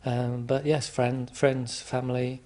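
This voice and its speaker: Man, from England, interviewee, hesitant, reflective, many restarts, mid pitch. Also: level tone, slow, mellow. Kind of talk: conversational interview.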